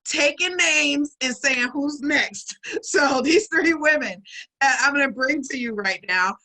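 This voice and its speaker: Bright, chatty woman hosting. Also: sits high, unhurried, low-quality recording. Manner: enthusiastic, chatty, laughs easily. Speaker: event host. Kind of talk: online event hosting. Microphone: video call.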